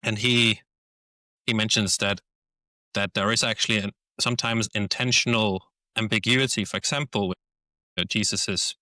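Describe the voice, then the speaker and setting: A Danish man with a Danish accent hosts a relaxed conversation. His voice sits low yet carries a bright, forceful edge. He thinks aloud, restarting phrases as he searches for the right words. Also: moderate pace, dry recording. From Denmark. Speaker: podcast host. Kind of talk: casual conversation.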